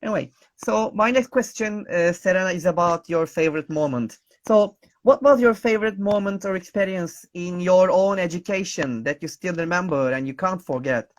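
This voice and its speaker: A man's voice: sits high, normal speed, hardly any pauses. A man hosting an online teacher talk show over a video call, friendly and hesitant with many fillers and nonnative phrasing. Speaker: host.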